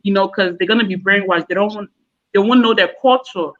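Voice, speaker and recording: A woman's voice: medium pitch, brisk, fairly bright tone. A young woman with a Nigerian-American accent, talking casually as a panel guest, rambling with many fillers and restarts. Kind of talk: casual discussion. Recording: dull lo-fi sound.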